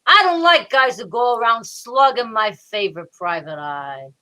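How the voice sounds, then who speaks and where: A blustering, bossy police detective a man's part acted by a woman in a radio mystery. The voice is fairly high and bright, with animated swings and slangy tough-cop phrasing. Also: moderate pace, poor audio. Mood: dry, fond. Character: gruff, bossy male police detective, fond of the sleuth. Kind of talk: old-time detective radio drama.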